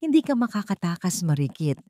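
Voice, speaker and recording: A woman's voice: fairly low pitch, big pitch swings, a little fast, dark tone, no room sound. A cold, menacing villain from an audio drama , voiced by a woman storyteller. The threats come with a Filipino accent in a dry, close recording. The delivery is hard and threatening.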